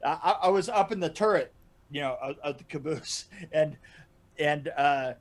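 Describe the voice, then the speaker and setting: A man with a notably high voice tells casual anecdotes on a podcast. He is animated and halting, doubling back on his words and chuckling as he goes. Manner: animated, laughs through his stories, halting starts and repeats. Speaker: podcast storyteller and guest regular. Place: indoor room, video call.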